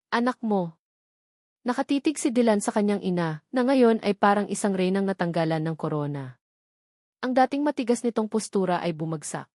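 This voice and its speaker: A woman's voice: mid-range voice, darkish tone, no room sound, studio quality. A woman narrator reading a scripted romantic drama in a solemn, dramatic storytelling manner.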